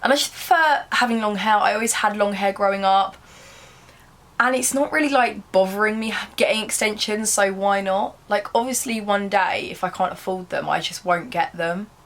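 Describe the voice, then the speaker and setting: A young British woman chatting casually to camera as a vlogger, her voice slightly croaky as she gets over a cold. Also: mid-range voice, moderate pace, fairly bright tone. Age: young adult.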